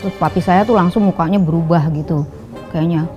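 Interviewee, older woman, low-pitched, relaxed chatty talk at home, faint music behind. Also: a little fast, highs cut off. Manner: chatty, relaxed, many fillers. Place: indoor home room.

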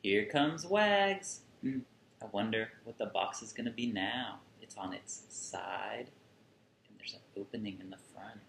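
A man in his thirties reading a picture book aloud to young children, expressively, with drawn-out words, recorded indoors at a little distance from the mic. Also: fairly low pitch, animated, gravelly, fairly bright tone. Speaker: children's museum storyteller. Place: small furnished room at home, indoors. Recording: roomy sound with faint background noise.

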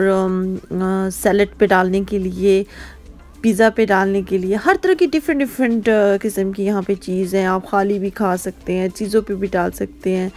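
A chatty woman from Pakistan talks through her grocery run, showing each item to viewers as she goes. Her voice sits at an ordinary middle pitch. Faint music plays under her. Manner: chatty, shows items to viewers as she walks. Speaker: vlogger. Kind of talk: casual shopping vlog narration. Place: supermarket aisles and streets in the UK.

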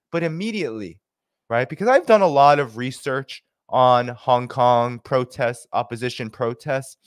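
A man's voice: mid-range voice, dry recording. A young man hosting from a home office on a studio mic, commentating animatedly on clips with many fillers. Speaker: host and commentator. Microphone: studio mic with headphones.

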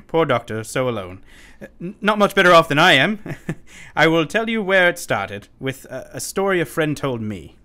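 An actor playing an unsettled, pleading asylum inmate in a radio play, speaking formally and urgently. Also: male voice, fairly high pitch, sing-song, normal speed, sharp tone. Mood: brooding. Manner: formal, urgent, given to sudden chuckles.

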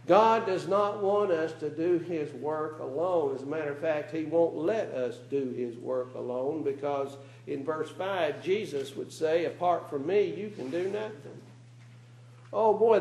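A man's voice: on the high side, darkish tone. Earnest male preacher, roomy church echo. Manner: earnest, asks the congregation rhetorical questions. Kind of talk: sermon. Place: church meeting room.

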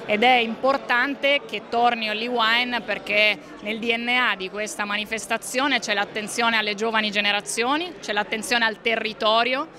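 A woman's voice: a little high, fairly even intonation, normal speed, hardly any pauses, pushed and bright. A woman in her thirties from Umbria giving formal answers in a TV interview. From Città di Castello, Umbria. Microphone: handheld press microphones. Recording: clear crowd murmur behind.

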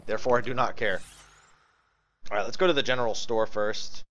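A man's voice: on the low side, a little fast, a bit bright, muffled recording. A man giving casual live video game commentary, thinking aloud and swearing as he chats with viewers. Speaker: livestream host playing a video game. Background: game music.

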